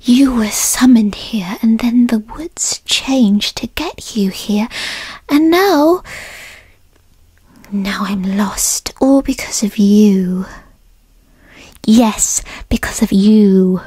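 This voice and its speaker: A woman voicing a bratty, insecure centuries-old kitsune fox spirit in a huffy, breathy ASMR whisper, close to the mic. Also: medium pitch, dark tone, no room sound. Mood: exasperated, scolding. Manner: huffy, sighing, breathy whispering, drawn-out words. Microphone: close microphone in a dry space.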